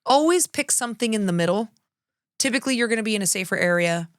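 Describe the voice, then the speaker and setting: A woman's voice: fairly high pitch, animated, fast, no echo, studio quality. A woman co-hosting a podcast, chatting with enthusiasm about food and travel and often trailing off to restart her sentences. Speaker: podcast co-host. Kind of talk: casual chat.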